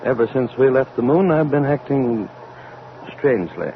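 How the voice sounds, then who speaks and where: An actor playing a grave, haunted elderly atomic scientist commanding a rocket in a vintage radio drama, with solemn, formal speech. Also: male voice, animated, lightly acted. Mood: brooding, grave. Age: older. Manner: solemn, deliberate, authoritative, formal wording. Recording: tinny vintage radio recording, background rumble.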